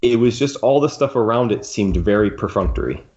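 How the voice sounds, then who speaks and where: A man's voice: on the low side, expressive, darkish tone. A man hosting a podcast, discussing a book casually and with strong opinions, often stopping to rephrase his thoughts. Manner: opinionated, halting and rephrasing as he goes. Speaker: podcast host. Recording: dull, muffled lo-fi audio.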